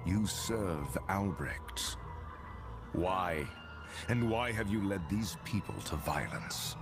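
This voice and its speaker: A grim fantasy warrior with a low, deep voice. He talks slowly and bluntly, with grave weight on his words. Game music runs behind him. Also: animated. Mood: stern, wary. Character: grim, questioning male wandering warrior hero. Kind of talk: dramatic game voice acting.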